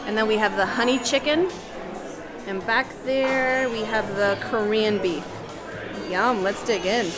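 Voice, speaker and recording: A woman's voice: medium pitch, unhurried, a crowd in the background. Chatty woman with music behind. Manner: casual, chatty. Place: indoors at a restaurant.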